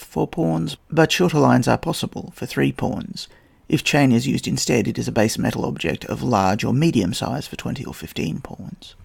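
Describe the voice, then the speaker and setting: A man hosting a podcast, giving a scripted, measured narration with a slightly croaky voice. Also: mid-range voice. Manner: measured, evocative storytelling delivery. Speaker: podcast host. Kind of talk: scripted narration on history and tabletop role-playing.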